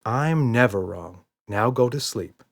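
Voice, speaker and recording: A man playing a calm, confident storybook father, reassuring and playfully boastful. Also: medium pitch, takes it slowly, vocal fry, full character voice. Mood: patient.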